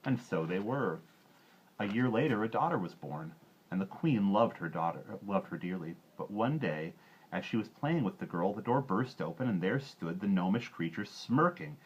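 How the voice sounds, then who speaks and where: Male storyteller, lowish husky voice, expressive fairy tale narration, dull recording. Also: normal speed. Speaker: storyteller reading aloud.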